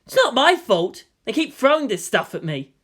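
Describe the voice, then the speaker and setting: A high-pitched teenage boy from an audiobook tale, put on by a male narrator. He sounds put-upon and sarcastic, a weary reluctant mastermind given to groaning complaint. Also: very animated, normal speed, a bit bright, full character voice. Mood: exasperated. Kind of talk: dramatized audiobook dialogue.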